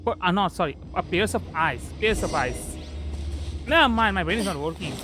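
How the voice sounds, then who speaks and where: A woman livestreaming a game, chatting casually in a rambling, expressive, jokey way. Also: lowish voice, moderate pace, background noise. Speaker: virtual streamer. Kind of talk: casual livestream gameplay chat.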